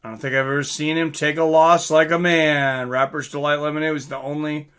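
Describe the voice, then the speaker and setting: Bright-voiced man calling a fight casually. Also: on the high side, level tone, brisk, smooth. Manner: informal, conversational. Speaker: livestream fight commentator. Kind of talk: casual live fight commentary with chat asides.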